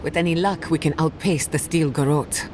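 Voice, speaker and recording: Low-voiced pragmatic adventurer played by a woman. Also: steady tone, normal speed, darkish tone, a little hiss or hum, natural voice. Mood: grim, composed. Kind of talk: video game character acting.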